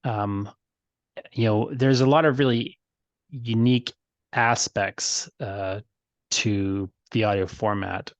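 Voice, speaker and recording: Halting, low-voiced male podcast host. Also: steady tone, no echo, highs cut off. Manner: halting, circles around his questions. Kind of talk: casual conversational interviewing.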